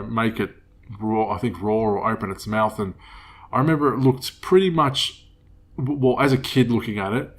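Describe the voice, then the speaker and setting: A man in his thirties with a cold that makes him a bit nasal, hosting a casual solo podcast in a rambling, relaxed way. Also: on the low side. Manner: rambling, relaxed, with many fillers. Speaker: podcast host.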